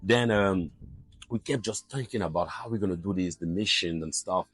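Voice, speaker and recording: A man's voice: low-pitched, moderate pace, breathy edge, no room sound, soft background music. A man in his early fifties with a Cameroonian accent, telling his own story conversationally as an interview guest, often repeating words as he forms his thoughts. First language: not English. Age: early 50s. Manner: hesitant, repeats words while forming thoughts.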